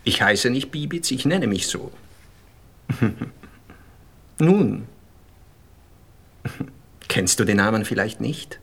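Vain male writer and lover, Austrian accent, lowish husky voice, pompous, gushing, theatrical radio play. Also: expressive, slow, long pauses, a bit bright, heavily acted. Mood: vain, indignant.

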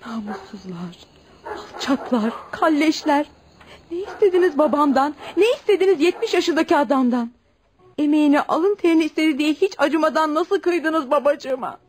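A woman's voice: high voice, expressive, normal speed, darkish tone. A woman playing an emotional, stubborn young village daughter who pleads and commands in a radio play. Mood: bitter grief. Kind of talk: Turkish radio theatre acting. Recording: noisy old radio play recording.

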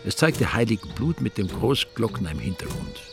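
A male Austrian narrator of a radio crime drama. The voice is very deep with a lot of fry, and the delivery is wry and grumbling. Music plays clearly behind it. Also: normal speed. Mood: wry, unimpressed. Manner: wry, deadpan.

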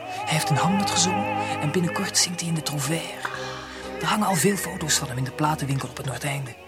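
A well-informed gentleman in a period radio drama. He speaks politely, in an attentive and explanatory way. Loud music plays behind his voice. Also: fairly high pitch, sing-song, fairly quick. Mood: informative. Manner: courteous, explanatory.

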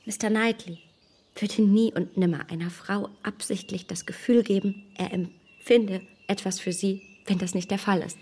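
A woman playing a self-assured young gentlewoman in a radio drama, formal and emphatic, shaken but keeping control. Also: mid-range voice, steady tone, normal speed, husky. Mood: controlled, insistent. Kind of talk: German radio drama acting.

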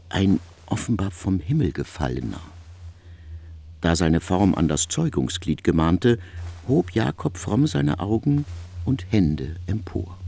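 An actor as a grave storyteller, narrating an ancient biblical tale in a measured, articulate way for a literary radio drama. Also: male voice, low-pitched, creaky, mellow, slightly rough, heavily acted.

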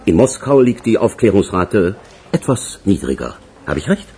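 A man's voice: fairly low pitch, very animated, moderate pace, dark tone, slight background noise. An actor playing a condescending veteran police investigator in a German radio crime drama, formal and pedantic as he interrogates. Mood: pompous rebuke.

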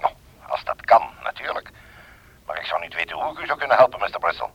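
An actor playing a polite, evasive, overly obliging gentleman on the telephone in a Dutch radio play, speaking hesitantly. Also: low-pitched, very animated, quick pace, husky, no room sound, heavily acted, low-quality recording.

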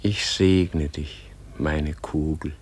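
Husky, very slow dying old sage. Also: male voice, a bass voice, fairly even intonation, darkish tone, heavily acted. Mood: gentle, wondering. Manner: slow, halting, solemn. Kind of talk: radio play acting.

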